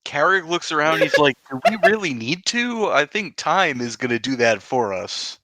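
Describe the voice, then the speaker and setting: Animated, bright-voiced male tabletop player. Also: on the high side, normal speed, dry recording. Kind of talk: casual game chat.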